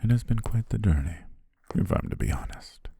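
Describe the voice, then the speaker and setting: A man playing a courteous, devious hypnotist in an ASMR roleplay, speaking in a soothing, breathy whisper with genteel, deliberate pauses. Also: expressive, dark tone. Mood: gently reassuring. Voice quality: whispery, breathy, very low and gravelly. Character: devious, courteous male hypnotist welcoming a client.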